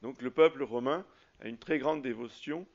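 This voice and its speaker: A man preaching a sermon as a priest, halting often with fillers and restarts. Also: mid-range voice, dull audio. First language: French.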